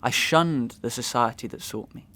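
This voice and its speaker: An actor playing an anguished, wronged young gentleman in a Victorian audio melodrama, speaking in declamatory, archaic, impassioned style with a creaky voice. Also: mid-range voice, normal speed, lightly acted. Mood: earnest, pleading. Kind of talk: Victorian stage melodrama acting in an audio drama.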